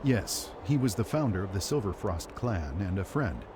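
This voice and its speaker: A grave older emperor. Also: male voice, fairly low pitch, big pitch swings. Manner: measured, formal. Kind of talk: game cutscene voice acting. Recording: game audio with clear ambient noise.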